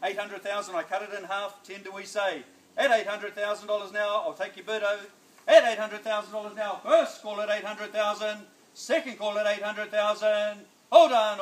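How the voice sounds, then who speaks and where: A middle-aged New Zealand man works a backyard auction, his fairly high voice chanting bids in brisk repeats and coaxing buyers along. He is picked up by a phone camera at a distance outdoors, with an echoey ring and a faint hiss of noise behind him. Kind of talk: rapid auction calling.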